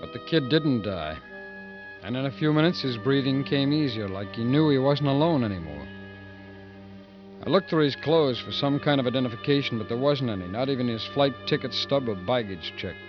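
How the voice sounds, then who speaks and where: A defiant but protective American convict, played by a male actor in a 1950s radio drama. His speech swings widely in pitch at a middle register. The sound is narrow and vintage, with music around it. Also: expressive, fairly quick, close to an everyday voice. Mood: reflective narration. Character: fed-up convict, protective, defiant, guilt-ridden man. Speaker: protagonist and narrator. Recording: band-limited 1950s radio recording.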